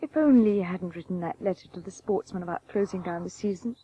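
An actress playing an older, devoted and candid wife with dry concern in a radio drama. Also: female voice, fairly low pitch, quick pace, dark tone, breathy edge, no echo, low-quality recording. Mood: weary concern.

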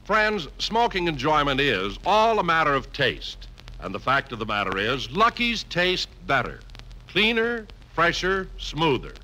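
Animated American radio announcer, higher male voice. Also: measured pace, rough recording. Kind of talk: 1950s scripted radio announcing.

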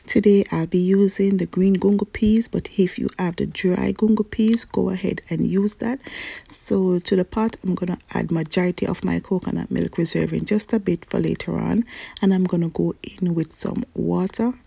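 A young woman, a cooking channel host chatting viewers through a recipe in a relaxed, friendly voice-over. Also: fairly low pitch, moderate pace, vocal fry, slightly rough, no room sound. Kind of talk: cook-along voice-over narration. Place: home kitchen.

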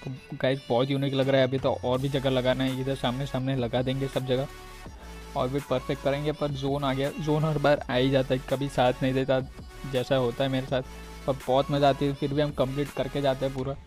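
An Indian man commentates on his own gameplay in an informal, chatty style. His delivery stays very even, with hardly any rise or fall, at an average male pitch. Light music plays behind him as he addresses his viewers. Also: fairly quick. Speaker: gaming video creator. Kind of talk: casual video game commentary.

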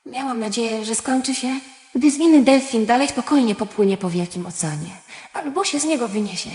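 A woman's voice: a little high, fairly quick, darkish tone, close to an everyday voice. A woman playing a sensitive, questioning girl in an audio drama, earnest and wondering. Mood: wistful, wondering. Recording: echoey hall reverb with music.

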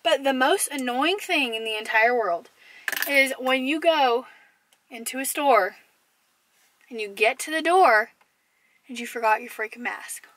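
A young woman vlogging casually to the camera, her voice a little hoarse from a cough, chatty and enthusiastic with many fillers. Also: high-pitched, a little slow, long pauses, fairly bright tone, low-quality recording. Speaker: lifestyle vlogger. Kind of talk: casual vlog chat to camera. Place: parked car, then in a large store and a parking lot. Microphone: camera on dashboard or handheld at arm's length.

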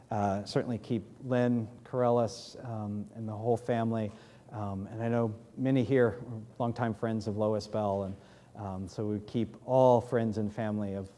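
A man in his thirties with a New England accent, a pastor giving church announcements and leading prayer at a podium mic, speaking haltingly with many fillers. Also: lowish voice, warm tone. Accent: New England American. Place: large church sanctuary, in doors.

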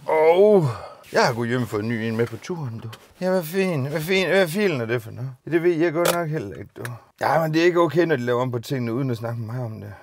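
A man's voice: medium pitch, lively intonation, a little hiss or hum. A middle-aged Danish bus driver, rambling and musing aloud in a casual vlog recorded inside his minibus. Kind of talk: casual vlog monologue while driving. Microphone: phone or dashcam on the dashboard.

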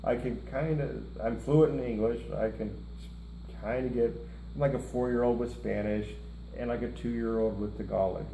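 A middle-aged man rambles casually through his thoughts, tossing in joking asides. His voice is mellow and mid-pitched. It echoes around a roomy indoor space over a faint hiss. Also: fairly even intonation, normal speed, creaky. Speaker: co-host of a music reaction show. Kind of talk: casual chat. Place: indoor room, seated at a table before a lit curtain.

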